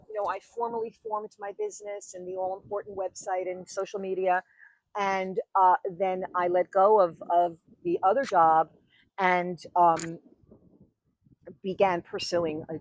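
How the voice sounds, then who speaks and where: A woman's voice: mid-range voice, rough recording. A woman in her sixties with a New York accent, telling her story as a wedding officiant on a podcast, chatty and full of fillers. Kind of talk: podcast interview.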